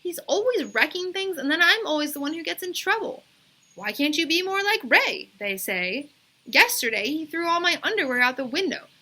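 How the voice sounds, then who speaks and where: Woman playing an eager, high-pitched indignant little schoolgirl. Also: sing-song, normal speed, bright, forceful tone, exaggerated voice. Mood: aggrieved complaining. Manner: exclaiming, plaintive. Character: little schoolgirl, eager, indignant, complaining about her brother.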